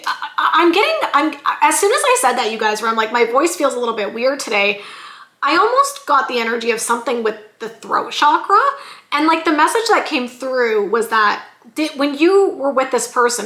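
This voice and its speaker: Bright-voiced sassy woman tarot reader. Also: high voice, reverberant. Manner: chatty and sassy, addresses viewers as "you guys". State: slightly hoarse. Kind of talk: casual tarot reading vlog.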